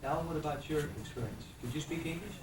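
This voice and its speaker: A man leads a community history forum, explaining patterns and turning to panelists for their stories. His voice sits slightly high. He sounds far from the mic in a reverberant hall with steady hiss. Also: fast. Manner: lecturing, prompting panelists with questions. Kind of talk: moderating a panel discussion.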